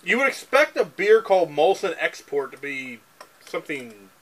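30s male co-host, bright lively voice, jokey banter, basement bar. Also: on the high side, normal speed. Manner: jokey, mock-reading foreign text with exaggerated voices. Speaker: beer review show co-host. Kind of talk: casual chat.

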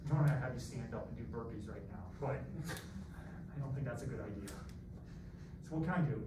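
A middle-aged American man lecturing as a college math teacher in an animated, rambling, self-deprecating and theatrical way. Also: mid-range voice. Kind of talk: classroom lecture with rambling asides. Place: classroom, masked, in front of students. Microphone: far camera at back of room. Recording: roomy echoey classroom, distant mic, background noise.

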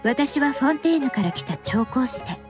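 An elegant young lady perfumer in an anime game. Her voice is high and soft-spoken, with courteous, formal phrasing and a poised air. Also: expressive, fairly quick, over music. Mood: polite, gracious. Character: Emilie, polite, poised young female perfumer from Fontaine.

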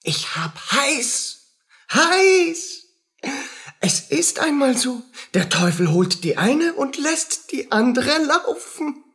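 An actor playing a tormented, feverish soldier unraveling in guilt in a radio play, husky and agitated, in fragments. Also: male voice, extremely high pitch, sing-song, slow pace, sharp tone, heavily acted. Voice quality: husky, rough. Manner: agitated, fragmented, muttering and crying out.

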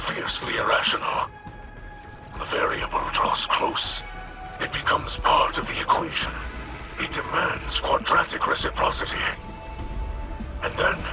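A man playing a gentle, scholarly alien ally in a video game, speaking in a hushed, breathy, measured and formal way. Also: a little high. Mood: pensive. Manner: measured, formal, hesitant, wonder-filled. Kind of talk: scripted video game dialogue.

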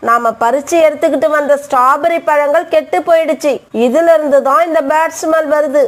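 Lady doing greedy sly cartoon crow wife, high pitched, brazen. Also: fairly even intonation, fairly quick, natural voice. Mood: scheming. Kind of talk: cartoon story dialogue.

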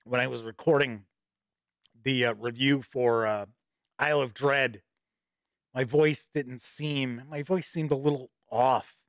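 A man's voice: medium pitch, steady tone, measured pace, no room sound. A middle-aged man with a slight cold and a stuffy voice, hosting a casual live-stream news show from a home studio, chatty and upbeat with asides. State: a little stuffy with a starting cold. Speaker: host and editor-in-chief of a tabletop gaming news show. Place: home studio with shelves of games behind. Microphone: studio mic on boom arm close to mouth.

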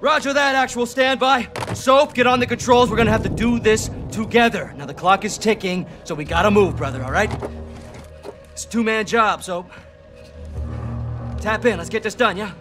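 An actor playing a cocky, profane American special forces commander in a video game, barking clipped orders. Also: male voice, sits high, normal speed, natural voice. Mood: tense, pressing. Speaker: squad commander. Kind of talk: video game military acting. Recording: loud music and ship effects behind.

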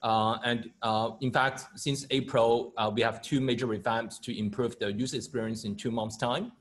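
A man's voice: medium pitch, fairly even intonation, normal speed. A middle-aged man from Hong Kong, an insurance company founder, introducing his firm hesitantly with many fillers over a video call. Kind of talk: panel self-introduction.

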